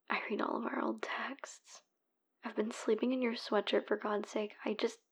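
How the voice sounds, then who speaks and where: A woman playing a heartbroken young woman who leaves a hushed, pleading voicemail for her ex, swearing in frustration. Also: medium pitch, husky, lo-fi sound. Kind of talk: whispered audio roleplay voicemail.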